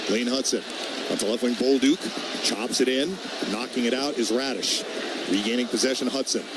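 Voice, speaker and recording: A man does live radio hockey play-by-play in short, clipped bursts that track the puck. His pitch sits in the middle, and clear background noise runs under the broadcast. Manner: clipped, rapid-fire phrases following the action. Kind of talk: live sports commentary. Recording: noisy radio broadcast from an arena.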